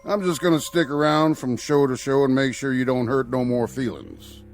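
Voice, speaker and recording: An actor playing a swaggering, commanding Old West outlaw with a folksy American drawl in a television drama. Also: male voice, mid-range voice, moderate pace, faint music behind, natural voice. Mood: folksy, controlling. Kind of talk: television drama acting, folksy Western talk.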